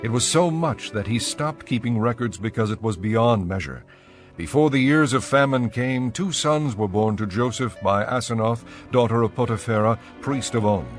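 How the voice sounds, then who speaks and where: A man narrating scripture from a script in a measured, formal way. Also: medium pitch, soft background music.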